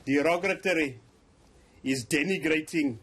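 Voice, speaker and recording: A man whose first language is Afrikaans, speaking English with an Afrikaans South African accent, making deliberate, emphatic points in a formal TV interview. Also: fairly high pitch, a bit bright, slightly rough. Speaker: interviewee, police officer.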